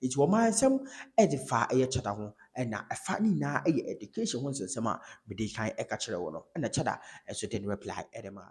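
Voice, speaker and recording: A man's voice: mid-range voice, very animated, fairly quick, darkish tone. A man with a Ghanaian accent giving informal commentary, retelling an interview as a code-switching storyteller. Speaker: online video commentator.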